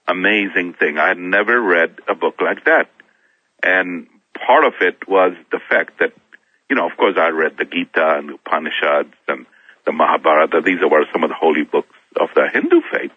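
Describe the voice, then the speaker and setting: A middle-aged man from Kenya, a guest on a talk show, telling his own story in a halting way, often restarting his words. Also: low voice, no room sound. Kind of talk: personal testimony in a talk show interview. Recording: thin phone-like sound, dry.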